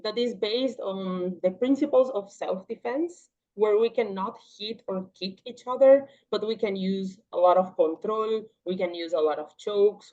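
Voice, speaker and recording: A young woman from Paraguay whose first language is Portuguese, chatting casually as a podcast guest with a relaxed, talkative manner. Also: mid-range voice. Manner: relaxed, chatty, with frequent "like" and laughs. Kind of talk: casual interview chat. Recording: slightly dull, lo-fi audio.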